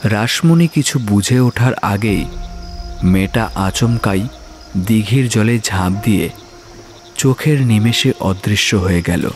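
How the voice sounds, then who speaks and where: Slow, low-voiced male horror narrator. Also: over music. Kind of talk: dramatic scripted horror story narration.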